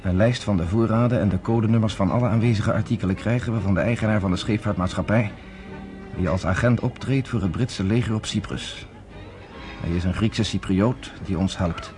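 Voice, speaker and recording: An actor playing a confident, courteous underground leader in a radio play, speaking in a measured, formal way. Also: male voice, lowish voice, over music, lightly acted. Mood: calm, scheming. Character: confident, persuasive underground leader, former army captain, courteous.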